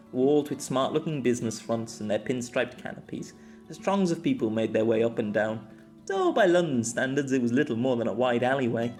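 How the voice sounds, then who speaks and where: A man's voice: mid-range voice, darkish tone. A man narrating a scripted story as an amateur audiobook reader.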